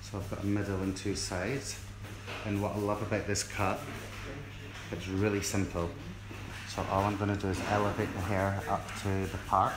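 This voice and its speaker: A middle-aged Scottish man giving an informal hairdressing demonstration in a friendly, explanatory way. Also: deep voice. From Scotland, born in South Africa. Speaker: hairdressing educator. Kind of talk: informal live tutorial demonstration. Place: indoors in a hair salon. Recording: echoey room with clear background noise.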